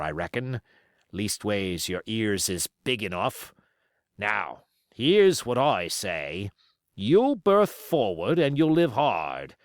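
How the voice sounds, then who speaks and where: A man's voice: lowish voice, no echo, studio quality. A man voicing a sly, boastful, commanding pirate cook in an audiobook, with emphatic rustic seafaring talk. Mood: stern. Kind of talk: audiobook character voice.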